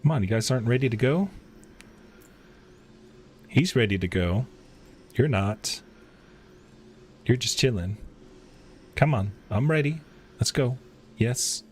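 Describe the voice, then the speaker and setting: A man's voice: medium pitch, lively intonation, slow pace, long pauses, slight background noise. A man giving casual live-stream commentary while playing an online game, in short offhand remarks. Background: music behind the voice.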